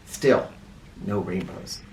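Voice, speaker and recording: A man reading a children's story aloud as a storyteller, in an animated, theatrical way with drawn-out emphasis. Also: deep voice, a little hiss or hum. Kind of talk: expressive storybook read-aloud. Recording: roomy echo, distant mic.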